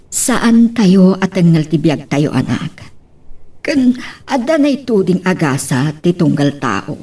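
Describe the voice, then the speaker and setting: A woman plays an ailing, doting old Ilocano mother in an audio drama. Her voice is mellow and husky, and she speaks tenderly with sighs, heard from a little way off the mic. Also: on the low side, sing-song, slow, distant mic, heavily acted. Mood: resigned. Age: older. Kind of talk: Ilocano radio-style drama acting.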